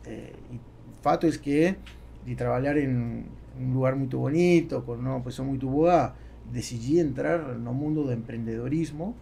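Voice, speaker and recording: A middle-aged man with a Spanish accent gives conversational answers on a podcast. His voice is dark and mellow with a gravelly creak, and he halts often to search for words. Faint noise sits behind the studio microphone. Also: medium pitch, normal speed. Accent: Spanish-accented Portuguese. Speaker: podcast guest, business executive. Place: small foam-treated podcast studio. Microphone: close boom-arm studio microphone.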